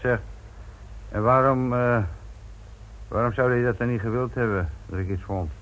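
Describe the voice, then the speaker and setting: An actor playing a weary, frustrated police inspector in a radio play, gruff and curt, muttering the odd curse. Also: male voice, medium pitch, moderate pace, fairly bright tone, lightly acted. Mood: probing. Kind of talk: Dutch radio play acting. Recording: noisy old radio drama recording.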